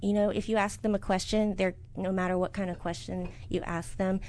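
A middle-aged woman from the United States, a business owner answering interview questions in a soft, hesitant way with many fillers. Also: medium pitch, level tone, fairly quick.

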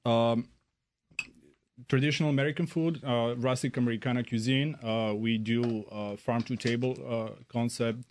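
A man from Serbia, a beverage director, answering interview questions haltingly with many fillers. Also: medium pitch, fairly even intonation, darkish tone, slight background noise. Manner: halting, many fillers, non-native phrasing.

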